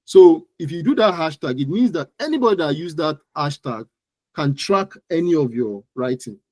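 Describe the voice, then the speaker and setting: A man's voice: on the high side, expressive, normal speed, a little mellow, no room sound. Nigerian man explaining with emphatic repetition. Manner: emphatic, repeats words and phrases. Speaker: guest digital marketing expert. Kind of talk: interview answers, explaining.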